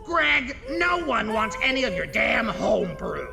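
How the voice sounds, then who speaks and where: Woman as a croaky sardonic crew member. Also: a little high, measured pace, background noise, heavily acted. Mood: dry, sardonic. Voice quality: gravelly, creaky. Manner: deadpan, blunt. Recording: music behind.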